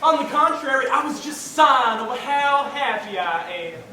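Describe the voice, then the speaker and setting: A woman's voice: high voice, expressive, normal speed, bright, forceful tone. A teenage girl playing a sweet, naive, earnest young daughter in a stage melodrama. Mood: sweetly cheerful. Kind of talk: high school stage melodrama. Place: large school theatre stage, filmed from afar. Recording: echoey auditorium from far off, faint noise.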